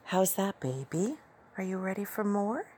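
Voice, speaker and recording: A man's voice: high-pitched, big pitch swings, moderate pace, a little mellow, a little hiss or hum, voice pushed up. A man voicing a teasing, dominant adult woman in an audio story, coaxing and confident. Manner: coaxing pet names, teasing commands.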